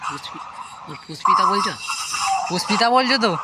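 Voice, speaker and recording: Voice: mid-range voice, animated, brisk. A person making a casual prank phone call, insistently repeating questions. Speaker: prank caller on a comedy video channel. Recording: noisy handheld phone video with clicks.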